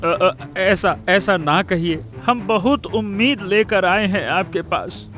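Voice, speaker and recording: Cartoon role for a man: poor, humble village father, earnest, high-pitched voice, soft music bed. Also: slow. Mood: pleading. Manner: pleading, earnest, repeating himself. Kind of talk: Hindi cartoon story dialogue.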